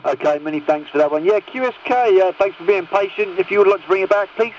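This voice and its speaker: A man's voice: sits high, fast. A man working a CB radio net in matey, procedural talk. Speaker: CB radio operator. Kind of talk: casual radio net chat. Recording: dull, noisy CB radio transmission.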